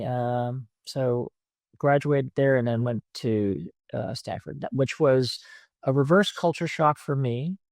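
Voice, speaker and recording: A man in his fifties talks through his memories as a podcast guest. His voice has a gravelly, fried texture and a mid pitch, and he pauses on fillers as he thinks. The sound is dry and close. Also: no room sound. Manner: reflective storytelling with many fillers. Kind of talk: conversational interview answers.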